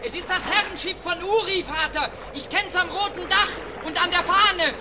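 A man's voice: extremely high pitch, moderate pace, a crowd in the background, noisy background. An actor playing a fisherman's frightened young son who speaks in an urgent, pleading way. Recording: storm sound effects behind.

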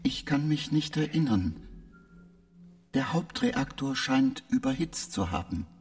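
An actor playing a polite, halting and evasive artificial intelligence aboard a spaceship in a radio drama. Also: male voice, a little high, huge pitch swings, exaggerated voice. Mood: calm, evasive. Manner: slow, measured, halting delivery with odd emphasis.

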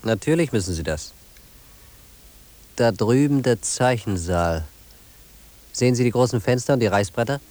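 An actor playing a cool, faintly wry guide who narrates a radio play in a measured, matter-of-fact way. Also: male voice, medium pitch, expressive, close to an everyday voice. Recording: hissy old radio play recording.

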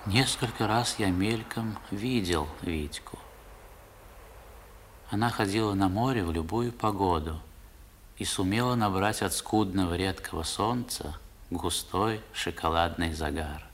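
Male narrator, low register, expressive and literary, looking back wistfully, old radio drama. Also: measured pace. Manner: expressive, theatrical storytelling. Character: man recalling his boyhood, wistful and dramatic. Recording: vintage 1960s radio recording.

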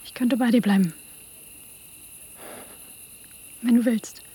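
A woman's voice: fairly high pitch, fairly even intonation, brisk, warm tone, slightly rough. An actress playing an ambitious woman manager in a radio drama, telling of lost love in a wry, colloquial way. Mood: concerned, hushed. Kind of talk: radio drama first-person narration and dialogue.

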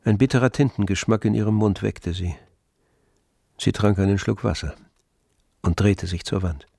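A man narrating a literary radio play in a measured, sober storytelling manner. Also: fairly low pitch, gravelly, mellow, no room sound. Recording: close dry studio, music underneath.

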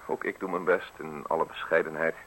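An actor playing a sly, falsely modest male visitor in a radio play, speaking in deliberate, ornate, theatrical phrases with pauses. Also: rumbling low, fairly even intonation, fairly bright tone, rough recording. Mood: sly, ingratiating. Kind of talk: Dutch radio play acting. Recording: hissy radio drama recording.

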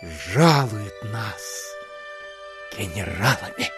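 An actor playing a sugary, sentimental, dreamy landowner in an audio drama, with cloying, drawn-out, gushing delivery. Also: male voice, on the low side, expressive, fairly bright tone, soft background music, exaggerated voice. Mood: dreamy rapture.

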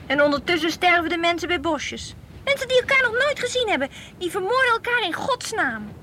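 A woman's voice: very high-pitched, sing-song, brisk, sharp tone, exaggerated voice. An actress playing an outspoken, sceptical teenage girl who argues emphatically in a radio play. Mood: challenging, sceptical. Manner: challenging, emphatic. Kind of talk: Dutch radio play acting. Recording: faintly hissy old radio play recording.

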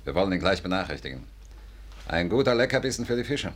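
A deep-voiced gangster chief from an old radio thriller. He is smooth and cynical, giving orders with sardonic, controlled menace. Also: very animated, fast talker. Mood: smug. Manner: sardonic, in charge. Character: smooth, cynical gang boss, calmly menacing. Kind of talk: 1950s German radio drama acting.

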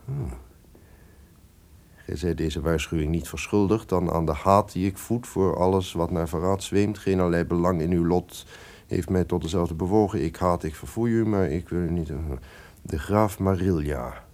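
An actor playing a powerful, vengeful, scheming duke in theatrical radio drama, with declamatory, archaic formal diction. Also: male voice, low voice, moderate pace, darkish tone. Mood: stern reading.

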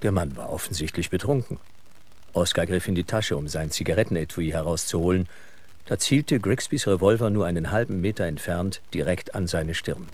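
A man with a husky voice delivering scripted narration as the narrator of a radio drama. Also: deep voice, fairly quick, a little mellow.

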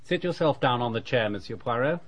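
A man playing a chatty, self-satisfied English dentist in a radio drama, with a brisk professional patter. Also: mid-range voice, animated, dry recording, close to an everyday voice.

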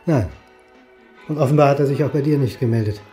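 An actor playing a weary, reserved historian turned reluctant detective, terse and dry, in a naturalistic radio play. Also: male voice, mid-range voice, fast, mellow, faint music behind, lightly acted.

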